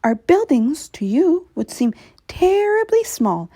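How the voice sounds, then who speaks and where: Teeny squeaky mayor guy, super high and thankful, woman putting it on. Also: sing-song, takes it slowly, warm tone, no echo, studio quality, heavily acted. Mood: cheerful. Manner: squeaky, piping. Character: tiny, grateful male mayor of a speck town.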